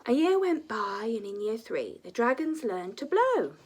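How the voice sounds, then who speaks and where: Female storyteller, high voice, hugely varied intonation, often hushed, for children's picture-book narration. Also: sing-song, a little slow, very noisy. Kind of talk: expressive scripted story reading.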